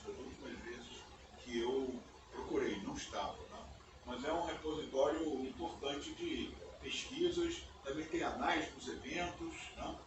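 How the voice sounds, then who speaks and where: An older man, a native English speaker, giving a relaxed conference lecture in a university auditorium, often checking in with his listeners. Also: on the high side. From United States. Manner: conversational, often ends phrases with "né?". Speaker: guest lecturer. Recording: echoey auditorium, distant mic, background noise.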